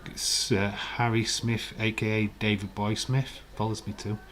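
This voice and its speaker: Croaky chatty man streaming. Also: on the low side, flat delivery, a little slow, fairly bright tone, slight background noise. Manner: chatty, rambling, reads out viewer comments. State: hoarse, croaky voice. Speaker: live stream host. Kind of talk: casual live-stream chat.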